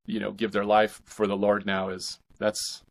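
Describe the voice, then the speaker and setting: An American man in his forties chatting casually as an interview guest, thinking aloud in a halting, enthusiastic way. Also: on the low side, monotone, gravelly. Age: 40s.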